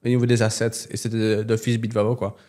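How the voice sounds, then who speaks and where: A man speaking French as a second language, with a warm, mellow voice. He answers interview questions as a company representative, halting now and then while he searches for the right word. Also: fairly low pitch, completely flat delivery. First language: not French. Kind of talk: business interview.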